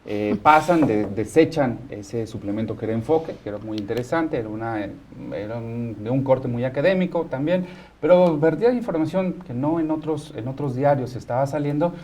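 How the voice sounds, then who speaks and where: A man's voice: medium pitch, normal speed, darkish tone, a little hiss or hum. Mexican man hosting, joking and informal. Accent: Mexican, Mexico City. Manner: joking, teasing. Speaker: talk show host. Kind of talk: informal studio hosting and news commentary.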